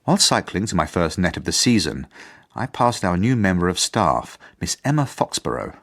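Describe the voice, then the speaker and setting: A man's voice: deep voice, big pitch swings, normal speed, gravelly, a bit bright, full character voice. A man acting an earnest, fussy British headmaster in a radio drama, formal and self-important as he narrates his journal. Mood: conciliatory, rueful. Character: earnest, idealistic, fussy male school headmaster. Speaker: actor.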